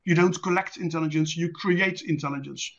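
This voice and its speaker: A man with a Dutch accent, a native Dutch speaker, presenting an online lecture in a measured, deliberate, didactic way. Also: a little high, steady tone, a bit bright. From Netherlands. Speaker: presenter, intelligence specialist. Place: online presentation with screen share. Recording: dull lo-fi online call audio.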